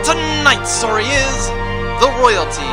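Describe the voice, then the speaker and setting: Booming, high-pitched space serial announcer. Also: male voice, expressive, over loud music. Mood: grandiose. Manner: grandiose, drawn-out proclamation. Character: bombastic old-time space serial announcer. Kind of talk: theatrical radio serial narration.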